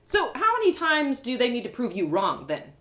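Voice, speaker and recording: A woman's voice: fairly high pitch, wildly swooping pitch, moderate pace, a bit bright, voice pushed up. Woman acting a hot-tempered, indignant young girl. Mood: bitter, angry. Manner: emphatic, indignant questioning.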